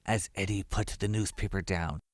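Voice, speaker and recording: A man's voice: sits low, steady tone, moderate pace, no echo. A man narrating a scripted horror story as its storyteller. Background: music.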